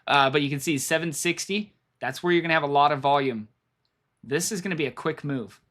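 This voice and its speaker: Hoarse man rambling through market levels. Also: on the high side, a little fast, a bit bright. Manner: rambling, repeats himself, thinks aloud. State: losing his voice. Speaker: stock market streamer. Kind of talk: live trading commentary.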